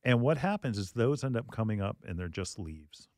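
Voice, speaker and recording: A man's voice: lowish voice, lively intonation, moderate pace, a little mellow, no room sound, studio quality. A man hosting a garden radio show in casual chat, jokey and talkative, giving tips and quoting callers. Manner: chatty, jokey, quotes callers in mock voices.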